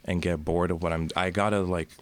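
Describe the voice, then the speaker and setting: A man's voice: sits low, brisk, clean tone. A young Canadian man telling stories as a guest in a casual interview, with loose, restarting sentences. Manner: relaxed storytelling with frequent restarts. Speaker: guest singer.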